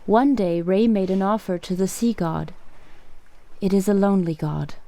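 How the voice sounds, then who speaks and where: A woman playing a calm, sorrowful island rescuer in a radio play, telling things in a measured way. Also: mid-range voice, close to an everyday voice. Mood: solemn, sorrowful. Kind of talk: radio drama acting.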